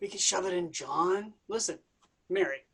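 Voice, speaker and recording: A woman's voice: lowish voice, normal speed, fairly bright tone, husky. A woman playing a vain, bored young male messiah who talks in slacker slang, in a staged reading. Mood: bored, offhand.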